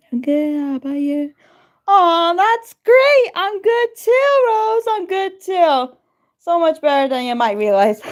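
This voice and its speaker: A woman from Turkey with a Turkish accent chats on her live stream. Her voice sits very high, and her pitch swings a lot. She talks slowly and stays excitable. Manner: excitable, greets chat viewers by name. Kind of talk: casual live-stream chat.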